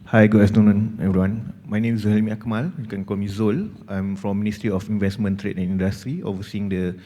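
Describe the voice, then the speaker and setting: A man's voice: fairly low pitch, fairly even intonation, fast talker, warm tone. A man introducing himself at a round table meeting. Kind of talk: brisk self-introduction at a meeting. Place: large reverberant meeting room. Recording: noisy hall with long reverb, clicks.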